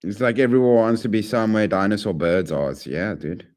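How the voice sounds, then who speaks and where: A man chatting casually on a live stream, talking to his chat in a rambling way that swings from loud to mumbled. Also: lowish voice, brisk.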